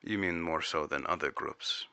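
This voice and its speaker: A man's voice: a bass voice, normal speed, creaky, bright, forceful tone, clear, resonant tone, no echo, heavily acted. A man playing a formal, probing intelligence agent who takes testimony in an audio drama. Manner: formal, inquisitive. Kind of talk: audio drama interrogation dialogue.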